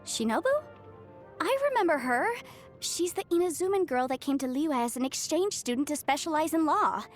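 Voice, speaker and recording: A young female legal adviser from a video game with a high, very lively voice. She is chatty, proud and articulate, delivering her lines with studious self-assurance, over faint music. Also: normal speed. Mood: bright, polite.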